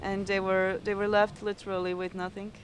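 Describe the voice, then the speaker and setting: A woman in her twenties with a Croatian accent, a pianist answering interview questions thoughtfully, pausing and repeating words. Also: mid-range voice, level tone, noisy background. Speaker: competition-winning pianist. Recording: music playing underneath.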